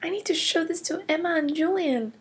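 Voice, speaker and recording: A woman voicing a curious, cheerful girl in a toy play story, full of gasps and hushed whispers. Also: extremely high pitch, fairly quick. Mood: amazed. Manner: gasping, whispering wonder.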